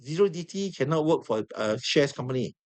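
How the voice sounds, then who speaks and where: A high-voiced man with a Singaporean English accent lecturing to a classroom of students. He sounds hoarse and worn, repeats words and keeps quizzing the room as he explains. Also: brisk. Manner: animated, repeats himself, asks the room questions. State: hoarse, losing his voice. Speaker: trading instructor.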